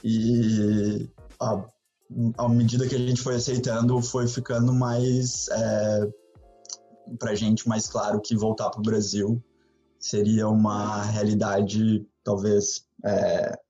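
A man recounts events in a loose, rambling way, leaning on fillers as he goes. His voice is mellow and sits at an ordinary pitch. The audio is somewhat dull and muffled, with faint music behind him. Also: slow. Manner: relaxed, rambling storytelling with fillers. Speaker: podcast guest. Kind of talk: casual chat.

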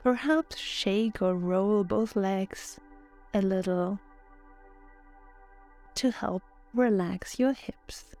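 A woman narrating a guided relaxation meditation in a calm, soothing, measured way, as a yoga nidra guide. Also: medium pitch. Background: background music.

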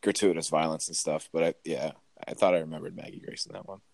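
A man's voice: extremely low pitch, fairly even intonation, fast talker, gravelly, a little mellow, no echo. A man appearing as a podcast guest, rambling casually and filling his speech with "like". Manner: rambling, lots of "like".

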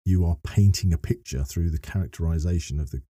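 Very deep, dark-voiced man. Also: brisk, breathy edge, no room sound, studio quality. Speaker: podcast guest, voice actor and narrator. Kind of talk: podcast discussion, explanatory.

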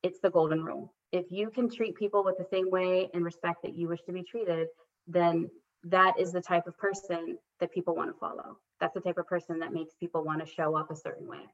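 A woman's voice: on the low side, little pitch movement, brisk. A woman coach speaking as a podcast guest, earnest and reflective, with many fillers and restarts. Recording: dull lo-fi recording.

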